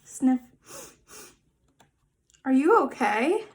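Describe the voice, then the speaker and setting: A woman's voice: high voice, very animated, extremely slow. A woman reading a children's picture book aloud, acting out the dialogue expressively. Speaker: volunteer picture book reader.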